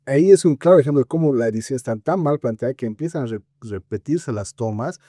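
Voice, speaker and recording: A man with a Bolivian Spanish accent talking film criticism conversationally as a podcast co-host, haltingly searching for words with frequent filler tags. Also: medium pitch, lively intonation, warm tone, no echo, clean studio sound.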